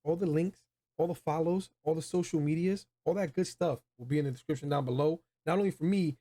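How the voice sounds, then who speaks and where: A man's voice: on the high side, a little fast, dark tone. A man hosting a casual movie review chat, talking in slangy, informal phrasing. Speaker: video review host.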